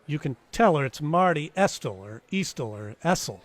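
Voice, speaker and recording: A man's voice: fairly high pitch, animated, normal speed, dry recording. A man playing a wisecracking, dryly sardonic private eye in an old-time radio detective play. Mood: wry, brash. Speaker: actor in live radio play, also narrating.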